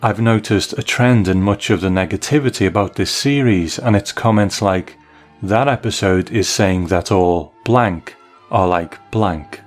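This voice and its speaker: A man hosting a podcast, reading a listener's email aloud in a measured, articulate way. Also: lowish voice, faint music behind.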